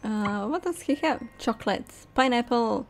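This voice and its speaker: Young female let's player: slightly high voice, unhurried casual commentary, soft music behind. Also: expressive. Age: young adult. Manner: thinking aloud. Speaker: gamer, host. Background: soft game music.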